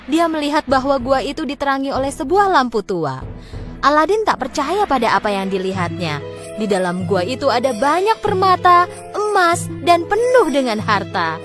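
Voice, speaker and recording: A woman tells a scripted fairy tale as a storyteller. Her voice sits fairly high and swings widely in pitch, with dramatic expression. Music plays clearly behind her.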